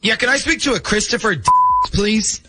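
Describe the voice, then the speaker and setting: A man with a slightly high voice works as a morning radio host. He talks in the style of a call-in prank segment, with faint music underneath. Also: expressive, normal speed.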